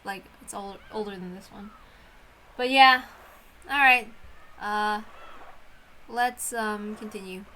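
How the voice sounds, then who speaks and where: A woman's voice: medium pitch, takes it slowly, pauses often, bright, forceful tone, poor audio. A woman streamer chatting casually, rambling in an apologetic way and often interrupting herself. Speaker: streamer who reads visual novels aloud. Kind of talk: casual livestream chat.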